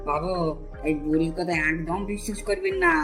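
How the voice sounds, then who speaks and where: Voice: medium pitch, very animated, fairly quick, fairly bright tone. An actor plays a greedy village man who bullies people in a cartoon folk tale. The voice is brash, insolent and sneering, with faint music behind it. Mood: wheedling.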